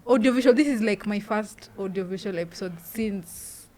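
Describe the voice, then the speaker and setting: A Kenyan woman hosts a podcast with a loud voice at a middle pitch. She talks casually and rambles, restarting her sentences often, and her laugh is loud. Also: normal speed. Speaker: podcast host. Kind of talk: casual interview chat.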